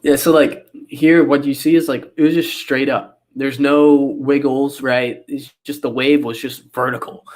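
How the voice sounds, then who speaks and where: A man's voice: mid-range voice, flat delivery, a little slow, few pauses, a little mellow. A young man with a cold and a nasal voice, explaining charts in a casual interview over a video call, full of fillers and restarts. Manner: rambling with many fillers and restarts. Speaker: guest analyst.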